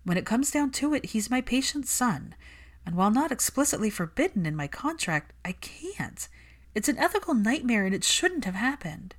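A woman's voice: a little high, very animated, moderate pace, voice pushed up. A woman voicing a defensive, logical young witch in a story reading, clipped and insistent.

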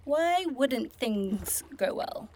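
A woman's voice: on the high side, animated, very slow, darkish tone, a little hiss or hum. A woman playing a curious, uneasy young human traveller in a fantasy audio drama, speaking in an informal, chatty way. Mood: wary, relieved.

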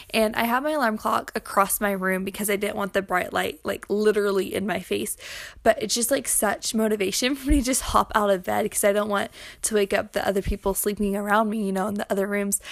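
A woman's voice: mid-range voice, creaky, no echo. A young adult woman talking in a casual, rambling, informal way in a personal audio log, saying "like" often. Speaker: podcaster, schoolteacher.

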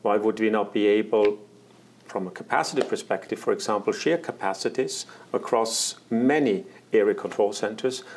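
Halting man, low voice. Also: a little mellow. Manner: halting, many fillers and restarts. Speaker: air traffic management executive. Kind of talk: interview answers.

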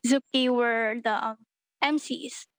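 A woman's voice: on the high side, steady tone, slow. A young woman, a guest on a casual podcast, answering briefly and hesitantly. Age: young adult. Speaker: podcast guest, art director. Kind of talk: casual chat.

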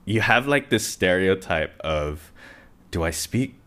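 A man's voice: deep voice, expressive, slow, fairly bright tone. A young man from Hong Kong hosting a casual podcast interview, chatty and upbeat, laughing often. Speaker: podcast host.

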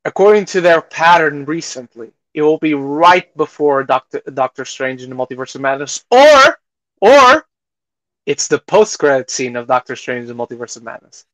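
A man's voice: fairly high pitch, lively intonation, normal speed, fairly bright tone, no echo, low-quality recording. A man co-hosting a podcast, chatting casually about movies and television. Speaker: podcast co-host.

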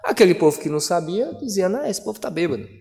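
A man preaching a sermon as pastor, with dramatic pauses and emphatic delivery. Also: high-pitched, big pitch swings, creaky, darkish tone, soft background music. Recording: long hall reverb.

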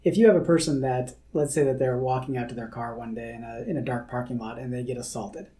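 A man co-hosting a podcast as a therapist, explaining ideas in a measured way through a worked example. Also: mid-range voice, fairly even intonation, mellow. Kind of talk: explanatory conversational chat.

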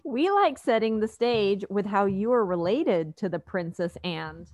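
A woman's voice: mid-range voice, animated, measured pace, a little mellow, no echo, studio quality. A woman in her forties hosting a casual family podcast in a cheerful, upbeat way. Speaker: podcast host.

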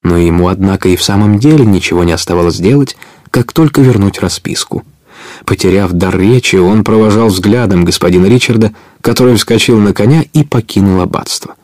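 A man narrating an audio play as a scripted adventure story, in an expressive storytelling manner. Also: lowish voice, fairly quick, no echo, clean studio sound.

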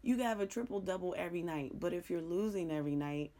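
Deep-voiced raspy woman, middle-aged sports talk host, hoarse, frank and rambling. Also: fast, dark tone. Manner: frank, joking, rambling, with mild cursing. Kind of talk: casual sports talk monologue.

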